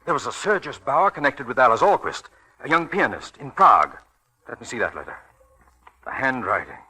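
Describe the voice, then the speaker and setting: An actor playing a steady, protective gentleman detective with an earnest, authoritative manner in a 1940s radio drama. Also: medium pitch, a little fast, husky, exaggerated voice, poor audio. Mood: urgent, persuasive. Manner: earnest, authoritative, drily ironic. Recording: old radio broadcast recording.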